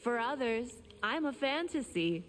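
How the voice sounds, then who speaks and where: A woman's voice: fairly high pitch, sing-song, measured pace. A woman voicing a predatory, seductive vampire in a video game, teasing her prey with menace. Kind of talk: video game character acting. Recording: loud noise behind the voice.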